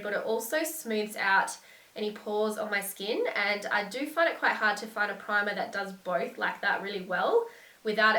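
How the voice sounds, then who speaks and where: A young woman talks through her favourite beauty products with gushing enthusiasm, her voice nasal and stuffed up from a lingering cold. Her tone is bright, and she sits a little back from the mic in an echoey room. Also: mid-range voice, distant mic. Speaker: beauty vlogger. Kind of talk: casual product review to camera.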